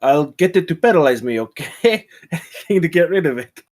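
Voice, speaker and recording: A man plays a stranded space scientist in a sci-fi table read. The voice sits fairly high for a man and comes across as chatty and nervous. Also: lively intonation, fairly quick, a little mellow, lightly acted. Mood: urgent. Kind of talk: screenplay table read acting.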